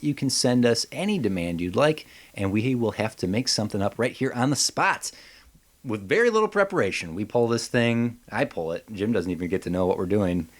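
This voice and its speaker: A man co-hosting a podcast in casual, comedic chat, joking and mildly profane in an animated way. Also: mid-range voice. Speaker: podcast co-host.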